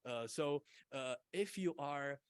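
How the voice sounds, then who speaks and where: A man whose first language is Czech gives an academic conference talk, halting often with fillers and restarts. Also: medium pitch, lively intonation, smooth, dry recording, clean studio sound. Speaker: invited conference speaker.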